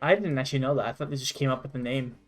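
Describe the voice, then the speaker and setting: Excitable sweary young guy gaming. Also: medium pitch, very fast, soft background music. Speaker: gaming channel host. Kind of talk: casual game commentary with banter.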